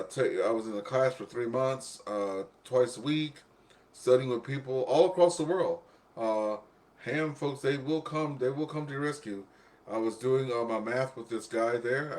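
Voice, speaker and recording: A man hosting an amateur radio net in a casual, rambling, chatty way, often repeating himself. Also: mid-range voice. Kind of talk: casual ham radio chat.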